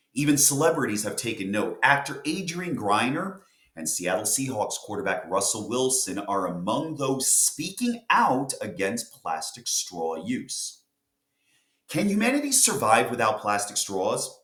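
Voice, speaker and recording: A man with a fairly low voice reads an article aloud, his intonation rising and falling in an animated way. The sound is clearly roomy and echoey. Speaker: reader of an article. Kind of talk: scripted read-aloud of a news article. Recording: echoey room.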